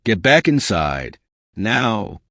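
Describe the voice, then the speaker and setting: A man playing a skeptical, cautious male scientist in an audiobook, with a gruff, doubtful, muttering manner. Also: on the low side, sing-song, very slow, a bit bright, dry recording, studio quality, full character voice.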